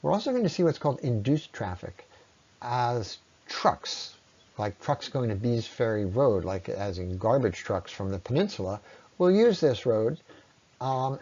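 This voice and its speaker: A man with a mid-pitched voice delivers a persuasive public talk on a slightly muffled, lo-fi recording. He sounds earnest and deliberate, leaning on key phrases for emphasis as a presenter making a case. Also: a little mellow. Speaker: speaker presenting against a road project.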